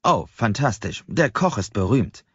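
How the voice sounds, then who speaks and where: Man doing a laid-back European surfer techie, husky. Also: on the low side, lively intonation, measured pace. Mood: eager, chatty. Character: tall laid-back European surfer-type tech worker. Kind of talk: audiobook dialogue. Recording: slightly dull, roomy sound.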